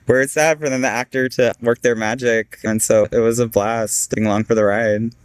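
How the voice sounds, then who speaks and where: A man with a smooth, resonant voice gives casual interview answers as an actor. He talks in a halting, informal way, recorded dry and close. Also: mid-range voice, fairly even intonation, no echo. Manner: halting, informal, frequent filler "like". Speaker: actor, guest speaker. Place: online video meeting.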